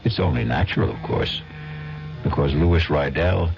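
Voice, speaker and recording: A man giving measured, theatrical narration for a radio mystery drama. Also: very deep voice, animated, gravelly. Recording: muffled old radio recording with music.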